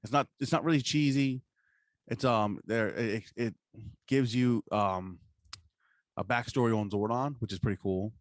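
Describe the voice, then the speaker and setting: A man's voice: medium pitch, moderate pace, no room sound, studio quality. A man co-hosting a podcast, chatting casually and enthusiastically about pop culture with plenty of swearing and slang. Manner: profane, slangy. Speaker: podcast co-host.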